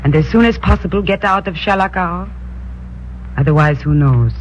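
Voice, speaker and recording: A woman's voice: fairly low pitch, normal speed, close to an everyday voice. A haughty queen gives orders in a cool, aloof voice, played by a woman. The old radio recording is muffled, with a faint hum behind. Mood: imperious. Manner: commanding, aloof. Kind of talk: 1950s radio drama acting.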